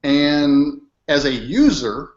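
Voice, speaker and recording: A man's voice: a little high, lively intonation, slow pace, a little mellow. A man in his fifties with a Midwestern American accent, speaking frankly as a guest in a casual panel chat. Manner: frank, plainspoken. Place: room with bookshelves. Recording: roomy, dull webcam audio.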